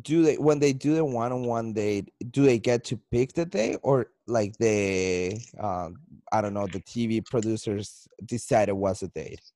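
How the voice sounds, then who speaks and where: A man with a Colombian Spanish accent hosts a relaxed podcast chat. He rambles and restarts, sounding loose and friendly. His voice is dry and close. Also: fairly low pitch, measured pace, no echo. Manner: loose and chatty, with frequent restarts and laughs. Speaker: podcast host.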